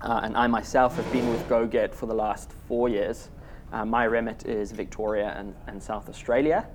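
A man from Zimbabwe with a Zimbabwean accent, speaking as a guest on a live panel in front of a small audience, chatty and filling pauses with "uh". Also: lowish voice, fairly even intonation, noisy background. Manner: chatty, many "uh" fillers, laughs along. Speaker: panel guest, car share manager. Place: workspace event room with small audience.

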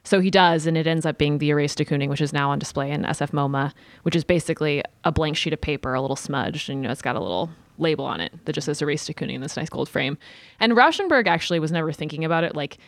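Woman on a podcast, pretty deep voice, super casual storytelling w lots of likes, dry recording. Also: animated, fast talker, no room sound. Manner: informal, frequent use of "like". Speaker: podcast panelist and editor.

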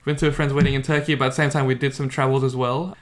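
A man's voice: medium pitch, level tone, very fast. A man from Indonesia chatting casually as a podcast co-host. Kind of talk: casual chat.

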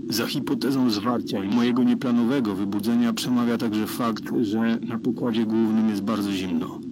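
A man's voice: on the low side, steady tone, normal speed, darkish tone, slight background noise, lightly acted, poor audio. A man playing a worried spaceship crewman methodically and formally recording a solitary audio log in a radio drama. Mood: matter-of-fact. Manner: methodical, formal, dryly reporting.

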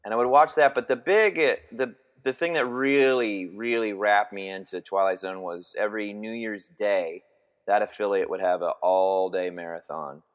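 Halting Texan-raised man in his forties. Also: on the low side. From Texas. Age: 40s. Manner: halting, many restarts and fillers. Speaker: guest. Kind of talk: casual interview chat.

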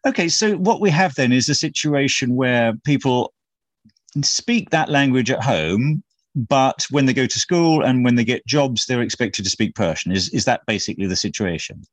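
A man's voice: medium pitch, expressive, normal speed, dry recording. A man hosting an interview, who asks probing questions and often rephrases them. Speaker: interviewer, host.

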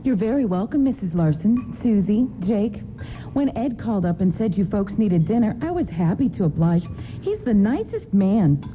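A woman's voice: medium pitch, very animated, normal speed, natural voice. A woman acting a friendly, obliging store restaurant worker in a radio play. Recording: lo-fi radio broadcast with faint music.

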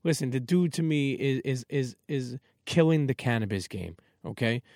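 New York podcast host, energetic, dry close sound. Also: male voice, medium pitch, normal speed, dry recording. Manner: energetic, repeats "okay". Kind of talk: casual interview.

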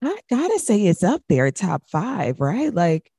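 A woman's voice: medium pitch, very animated, unhurried, dark tone, breathy edge, no echo, studio quality. A young adult woman co-hosting a radio show, chatting casually about music in an emphatic, sassy, colloquial and opinionated way.

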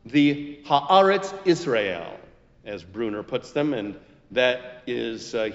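A man preaching a scripted sermon as a pastor, with measured, emphatic phrasing and deliberate pauses. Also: medium pitch. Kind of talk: scripted expository sermon with quotations. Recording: muffled recording in a reverberant hall.

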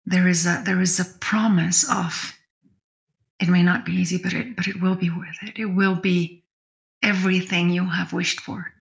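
A woman's voice: fairly low pitch, steady tone, normal speed, gravelly. A woman co-hosting a spiritual discussion podcast, thinking aloud in a reflective way and restating her points. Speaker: podcast co-host.

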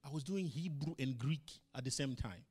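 A middle-aged man, a pastor whose first language is not English, preaching a sermon to his church with emphatic rhetorical questions, swinging between loud and soft. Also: mid-range voice, normal speed, breathy edge.

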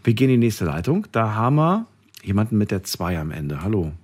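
A man hosting a casual radio phone-in, talking in a gentle, reassuring manner. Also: on the low side, very animated, a little fast, breathy edge.

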